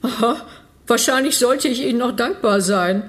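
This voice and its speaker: An actress playing a senior European official, curt and dryly mocking. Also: female voice, fairly high pitch, moderate pace, close to an everyday voice. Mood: brusque, sarcastic. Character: brusque, sarcastic high-ranking female bureaucrat. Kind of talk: radio drama acting.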